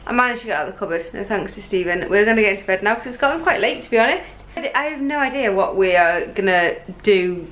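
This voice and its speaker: A woman's voice: mid-range voice, animated, fast, hardly any pauses, fairly bright tone. A British woman in her thirties chatting casually and informally to a handheld camera as a travel vlogger. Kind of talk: casual handheld vlog chat. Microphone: camera at arm's length. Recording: noisy street or room ambience.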